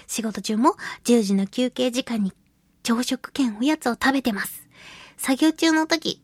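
High, breathy-voiced chatty woman radio host. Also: no echo. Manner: chatty, giggly, expressive. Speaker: radio show host, voice actress. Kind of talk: casual solo radio talk, reading listener mail.

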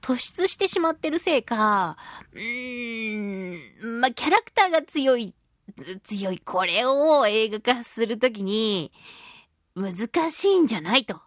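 A woman radio host talking casually to her listeners in an expressive, emphatic, chatty way, stretching words for emphasis. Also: a little high, slow pace, no room sound, studio quality. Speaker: radio show host and voice actress.

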